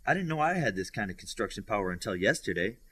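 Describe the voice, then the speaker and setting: A man's voice: lowish voice, sing-song, fast, sharp tone, slight background noise. A man voicing a modest, eager and curious young boy superhero in an audiobook. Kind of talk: audiobook character dialogue.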